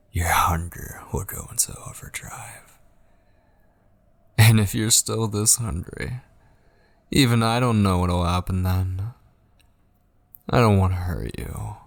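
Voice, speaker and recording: Male actor as possessive kidnapper lover, low gravelly voice, coaxing and menacing, intimate ASMR roleplay, breathy whispers, close mic. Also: no echo, exaggerated voice. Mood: pleading, possessive. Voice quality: husky, breathy, often dropping into whispers. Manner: slow, coaxing, possessive endearments. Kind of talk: intimate ASMR roleplay, scripted dramatic monologue. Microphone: close studio mic, dry.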